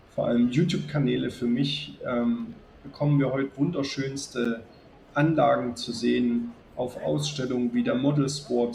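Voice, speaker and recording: Gravelly-voiced man with a cold. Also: medium pitch, a little hiss or hum, poor audio. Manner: calm, chatty, addresses viewers directly. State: has a cold. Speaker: model railway YouTuber. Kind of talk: casual spoken video narration.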